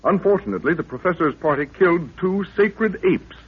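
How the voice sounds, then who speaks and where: Announcer: 1930s radio serial narrator, ominous, deliberate, rough-edged voice, thin phone-like vintage sound. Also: male voice, sits high, expressive. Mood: dramatic recap. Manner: dramatic, ominous, measured storytelling. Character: solemn storyteller of an African jungle mystery serial. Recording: tinny, muffled 1930s radio recording.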